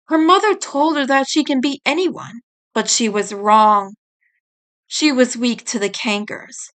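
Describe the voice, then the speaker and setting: A woman's voice: on the high side, a little slow, fairly bright tone. A woman reading scripted fan fiction as an audiobook narrator. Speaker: audiobook reader.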